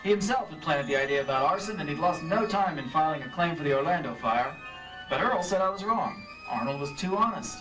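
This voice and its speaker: An actor playing a probing, skeptical insurance investigator in old-time radio detective style. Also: male voice, a little high, lively intonation, a little fast, close to an everyday voice. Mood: suspicious narrating. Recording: distant stage mics, music behind.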